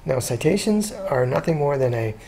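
Relaxed man with a mellow voice. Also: fairly high pitch, normal speed, a little hiss or hum. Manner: relaxed, chatty, many ums and restarts. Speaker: SEO consultant pitching a business. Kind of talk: casual screen-recorded walkthrough.

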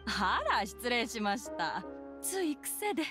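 Courteous, high-voiced boutique shopkeeper. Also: female voice, sing-song, slow. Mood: polite, amused. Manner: courteous, deferential. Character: polite, flattering female boutique shopkeeper. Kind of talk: Japanese anime game voice acting. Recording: music behind the dialogue.

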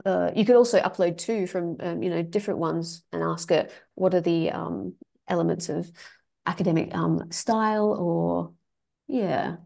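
A woman's voice: fairly low pitch, gravelly, darkish tone. A woman giving a webinar presentation over a video call, talking with many ums and restarts. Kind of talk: academic webinar talk.

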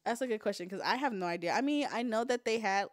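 Excitable young woman, lively swings. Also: on the high side, quick pace, dry recording, clean studio sound. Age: 20s. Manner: excitable, laughs loudly, swears, lots of fillers. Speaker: co-host. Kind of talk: casual chat on a livestream.

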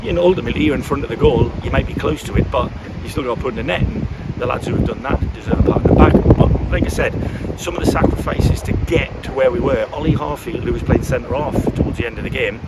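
A middle-aged man speaks frankly in a post-match interview, circling back and restarting phrases as he works out his thoughts. He is recorded outdoors by a football pitch with heavy wind noise and distant traffic behind him. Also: fast. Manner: frank, thinks aloud with repeated restarts. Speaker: football manager.

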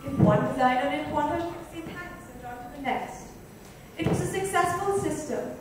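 A woman's voice: sits high, normal speed, music in the background. A woman reading literary narration aloud in an indoor lounge. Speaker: reader in a dramatic book reading. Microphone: far microphone. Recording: distant mic, very echoey room, faint noise.

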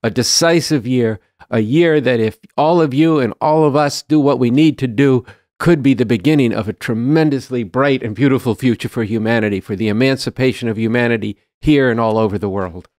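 Older guy hosting political show, solemn n measured, stacks up phrases, very dry studio sound. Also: medium pitch, darkish tone, no room sound. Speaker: show host. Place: small book-lined studio, indoors. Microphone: boom-arm podcast microphone, close.

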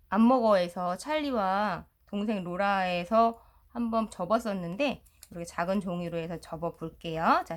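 A middle-aged woman teacher giving a step-by-step craft tutorial in a polite, explanatory way. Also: a little high. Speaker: children's storytelling teacher and video host.

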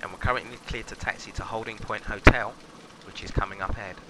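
A man with a low voice acts as flight instructor and pilot in a simulator lesson. He makes radio calls and explains the flying. A clear engine noise runs behind his voice. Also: fairly even intonation, quick pace. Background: aircraft engine drone from simulator.

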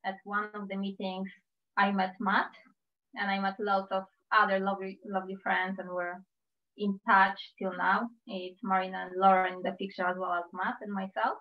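A woman in her thirties from Poland with a Polish accent, speaking carefully and warmly about her own life in a talk given over a video call. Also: mid-range voice, little pitch movement. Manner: careful, measured, heartfelt. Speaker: invited speaker. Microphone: computer mic on a video call. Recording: slightly dull video-call audio.

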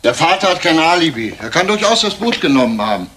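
An actor playing a persistent, composed homicide detective in a German crime radio play, matter-of-fact and clipped. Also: male voice, on the high side, big pitch swings, quick pace, bright, forceful tone, a little hiss or hum. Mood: speculating, determined.

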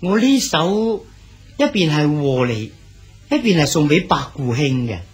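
A genial old-time scholar official. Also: male voice, on the high side, sing-song, breathy edge, exaggerated voice. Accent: Cantonese. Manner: measured, declaims poetry slowly. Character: Song dynasty poet official, genial and cultured. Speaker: Su Dongpo character in a radio drama. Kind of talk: 1980s style Cantonese radio drama acting.

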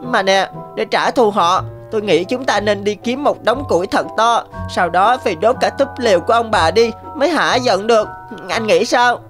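A woman's voice: medium pitch, moderate pace, close to an everyday voice. A woman voicing a sweetly deceitful, vengeful young rabbit in a cartoon. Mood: scheming. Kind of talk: cartoon voice acting. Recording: faint music behind.

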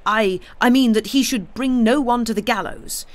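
A man reading an audiobook, voicing a high-strung, agitated young male curate who speaks in an excitable, stammering, unsteady way. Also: moderate pace. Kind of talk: audiobook character dialogue.

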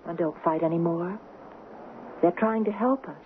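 A woman's voice: lowish voice, normal speed, slight background noise, natural voice. An actress playing an earnest, romantic young woman in a 1970s radio drama. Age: young adult. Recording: muffled phone-like 1970s radio, music behind.

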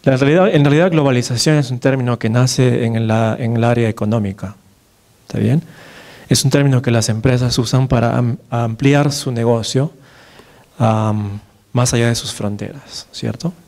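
A Peruvian man in his thirties lectures from a podium in a big dark hall. His voice is mellow and his pitch sits mid-range for a man. He keeps it conversational, full of fillers and "right?" tag questions. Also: moderate pace. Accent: Peruvian Spanish. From Peru. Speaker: neurologist giving a lecture. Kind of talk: conference lecture. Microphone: podium microphone, far camera.

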